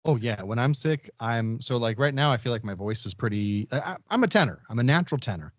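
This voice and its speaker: A man's voice: mid-range voice, animated, a little fast, no echo. A man co-hosting a podcast in casual, easygoing chat, on a slightly dull recording. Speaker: podcast co-host. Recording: slightly dull, muffled sound.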